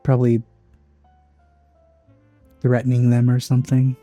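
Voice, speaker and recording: A woman giving casual, deadpan, sardonic commentary while playing a video game. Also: rumbling low, unhurried, soft background music. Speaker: let's play commentator.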